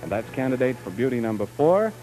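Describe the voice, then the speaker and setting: Jovial 1950s TV host, chuckling. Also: male voice, medium pitch, very animated, fairly quick, mellow, soft background music, poor audio. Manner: jovial, chuckling, loose and unscripted. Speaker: TV show host. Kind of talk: live TV beauty show hosting. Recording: old 1950s TV broadcast sound.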